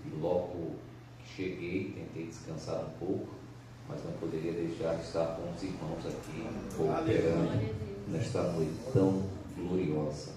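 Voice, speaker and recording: A man's voice: sits low, background noise. A man from Pernambuco with a Pernambuco accent, preaching as a visiting evangelist with formal, emphatic scripture reading in a church. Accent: Pernambuco Brazilian Portuguese. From Pernambuco, Brazil. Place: church service. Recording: echoey church hall, from far off.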